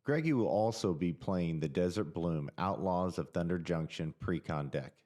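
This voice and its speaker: A man's voice: low voice, expressive, moderate pace, warm tone. A man giving scripted voice-over narration, evenly reading out card text and deck details.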